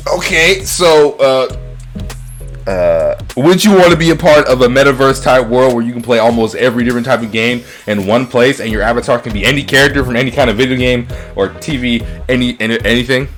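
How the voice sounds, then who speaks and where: A man's voice: medium pitch, a little fast, soft background music. A man hosting a casual podcast chat, rambling, often repeating himself and swearing freely. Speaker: talk show host.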